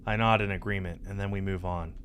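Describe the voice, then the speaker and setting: A man's voice: low voice, fairly even intonation, fast talker, a bit bright, a little hiss or hum. A man in his thirties reading visual novel narration aloud as a streamer, close to the mic. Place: indoor streaming setup. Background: game music.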